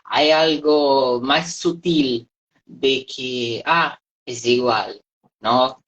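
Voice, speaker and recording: A woman's voice: rumbling low, extremely slow, muffled recording. A woman who teaches languages, talking casually as an interview guest.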